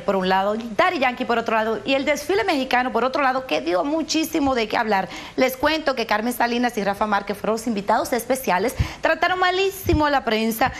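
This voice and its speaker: A woman in her thirties delivers a live entertainment report, chatty and teasing. Her voice sits high and bright, picked up close by a small clip-on mic outdoors. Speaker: entertainment correspondent. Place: outdoor rooftop set in a big city. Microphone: lapel mic.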